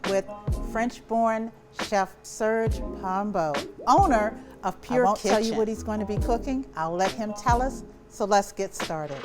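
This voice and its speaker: A woman's voice: medium pitch, normal speed, music in the background. A woman hosting a casual on-location interview in a kitchen. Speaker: host.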